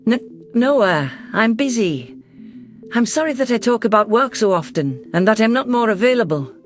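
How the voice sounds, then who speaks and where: Man voicing a high, gentle female alien leader. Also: sing-song, normal speed, soft background music. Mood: flustered. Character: caring, composed female alien leader with paws. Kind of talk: first-person sci-fi story narration and dialogue.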